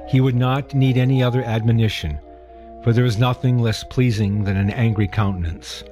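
A man reading a short homily excerpt in a grave, measured way. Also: mid-range voice. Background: music.

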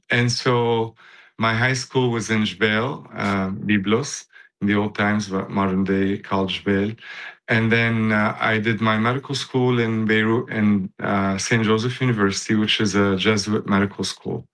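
A man's voice: on the low side, a bit bright, low-quality recording. A man in his fifties from Lebanon, talking in an interview as a guest, with many fillers and restarts. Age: 50s. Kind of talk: casual interview talk.

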